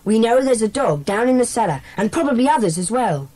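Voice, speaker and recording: A woman playing a bossy, sensible schoolboy leader in a children's audio drama, speaking in a brisk, decisive way. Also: fairly high pitch, very animated, warm tone. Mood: authoritative.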